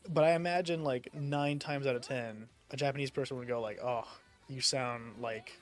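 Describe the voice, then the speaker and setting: A man's voice: medium pitch, steady tone, moderate pace, soft background music. A young man from the United States chatting casually while gaming, rambling with lots of fillers and casual swearing. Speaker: streamer.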